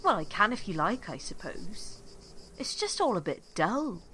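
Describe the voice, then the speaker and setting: A woman's voice: medium pitch, sing-song, measured pace, bright, forceful tone, slight background noise. An actress playing a polite, starry-eyed young woman in an audio drama. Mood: polite, hesitant.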